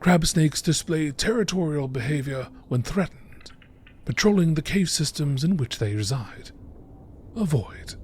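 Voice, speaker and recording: A man giving a measured, informative scripted narration in the style of a nature documentary. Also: fairly high pitch, expressive, slight background noise. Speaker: reader of video game text.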